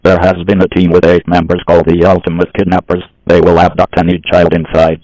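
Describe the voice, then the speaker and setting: A man's voice: low-pitched, completely flat delivery, fairly quick, darkish tone, no room sound, low-quality recording. A man with a synthetic voice reading a scripted emergency broadcast as an announcer.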